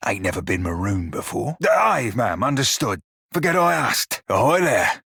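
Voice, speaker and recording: Game pirate shipmate: rough, husky, close dry sound, plain-spoken, wry and salty-tongued. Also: male voice, lowish voice, very animated, normal speed, sharp tone, dry recording, exaggerated voice. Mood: wry, breezy. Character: gruff, foul-mouthed furry blue male pirate shipmate. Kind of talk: video game character dialogue.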